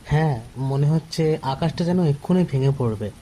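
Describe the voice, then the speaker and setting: A man playing a shy, earnest fourteen-year-old schoolboy in an audio drama, speaking quietly. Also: on the high side, lively intonation, moderate pace, a little hiss or hum, natural voice. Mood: warm, curious.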